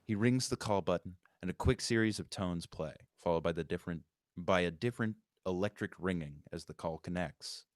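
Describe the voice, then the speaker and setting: A man's voice: low-pitched, fairly quick, no room sound, clean studio sound. A man, the writer, reading out screenplay stage directions as narrator at a live table read.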